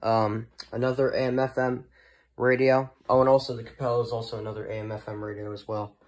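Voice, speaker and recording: Halting man in casual show-and-tell. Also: mid-range voice, level tone, darkish tone. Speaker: hobbyist presenter of a weather radio collection. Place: indoors, in a room at home.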